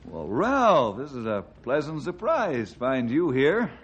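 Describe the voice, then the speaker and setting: An actor playing a steady, friendly, folksy forest ranger in an old-time radio drama. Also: male voice, mid-range voice, slow. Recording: muffled, noisy old radio recording.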